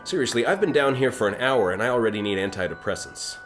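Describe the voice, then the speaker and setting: Pompous starship captain, played by a man: mid-pitched, swooping theatrical delivery, dismissive and sarcastic, for sci-fi comedy radio. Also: expressive, fast, soft background music, lightly acted. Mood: disdainful.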